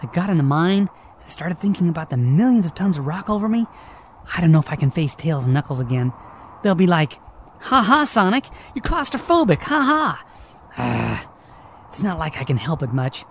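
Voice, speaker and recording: A man's voice: fairly high pitch, very animated, moderate pace, a little hiss or hum. A man playing a cocky, restless young hedgehog hero with casual, slangy delivery in an amateur radio drama. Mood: sheepish, defensive.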